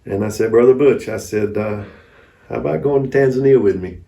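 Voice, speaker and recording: A man's voice: medium pitch, fairly quick, gravelly, warm tone. A man telling mission stories conversationally as an interview guest, in a folksy, anecdotal manner. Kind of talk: conversational storytelling. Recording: echoey room, distant mic.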